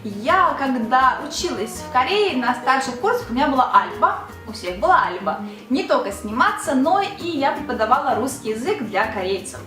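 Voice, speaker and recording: A woman's voice: sits high, very animated, moderate pace, few pauses, bright, forceful tone, echoey room, soft background music. A young Russian woman, a Korean language teacher, chatting casually in a video lesson and asking the viewer rhetorical questions.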